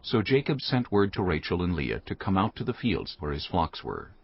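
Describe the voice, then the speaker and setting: A man's voice: deep voice, sing-song, moderate pace, creaky, slightly rough, lo-fi sound. A man reading scripted narration for a dramatized audio Bible. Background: background music.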